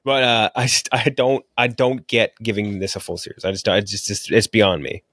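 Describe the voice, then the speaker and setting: A man's voice: lowish voice, moderate pace, fairly bright tone, no echo, clean studio sound. A man co-hosting a movie podcast, chatting casually and bluntly about film and TV with slang and swearing. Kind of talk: casual opinionated film and TV chat.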